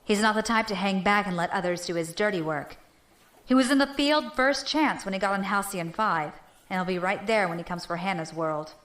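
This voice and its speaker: Female clan leader, played by an actress: mid-range voice, dry and shrewd, relaxed command, space drama. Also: mid-range voice, brisk, close to an everyday voice. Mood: confident. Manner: dry, casual authority. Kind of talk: science fiction audio drama.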